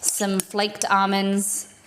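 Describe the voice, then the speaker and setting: A woman's voice: medium pitch, completely flat delivery, very slow, fairly bright tone, slight background noise. A young Australian woman hosting a live cooking stream, chatting casually with fillers as she cooks in a home kitchen. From Perth, Western Australia.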